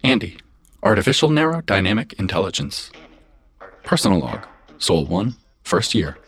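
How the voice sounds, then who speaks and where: A man's voice: fairly low pitch, sing-song, normal speed, fairly bright tone, slightly rough, dry recording, heavily acted. An actor playing an earnest artificial intelligence in an audio drama, logging his thoughts in precise, formal phrases. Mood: earnest, puzzled. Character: earnest male artificial intelligence caring for a Mars colony family. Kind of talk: audio drama personal log narration.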